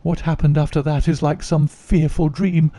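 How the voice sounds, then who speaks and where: Male reader as guilty young noblewoman, earnest, confessional, mellow tone. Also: fairly high pitch, level tone, normal speed, no echo, voice pushed up, natural voice. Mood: remorseful.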